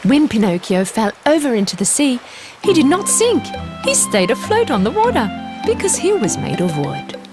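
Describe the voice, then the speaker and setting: A woman narrating a scripted children's story in an expressive, deliberate storytelling manner. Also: on the high side. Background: music.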